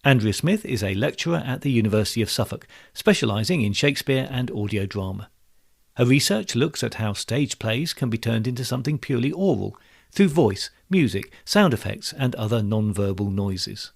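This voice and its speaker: Male podcast host, British accent, mid-range voice with a creaky edge, moving between narration and interview. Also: mid-range voice, expressive, normal speed. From United Kingdom. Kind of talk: scripted narration and interview questions.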